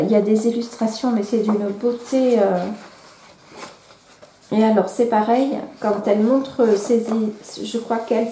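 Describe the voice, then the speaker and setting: A woman's voice: medium pitch, dark tone, slight background noise. French woman with a cold, hesitant and chatty. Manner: hesitant, many fillers and restarts. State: has a cold. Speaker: vlogger. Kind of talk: casual chat. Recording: echoey room.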